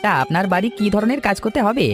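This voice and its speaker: A man plays a sly, jealous villager in a cartoon tale. His voice is very high and swoops around in a lively way, dismissive and spiteful, with faint music behind. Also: quick pace. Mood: evasive. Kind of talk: Bengali cartoon story dubbing.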